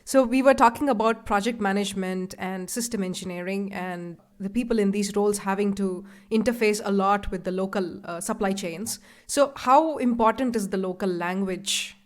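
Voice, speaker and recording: A woman hosts an interview show with a mid-range voice. She phrases her questions clearly and articulately, in a friendly tone. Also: medium pitch, normal speed, few pauses. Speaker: podcast host, interviewer.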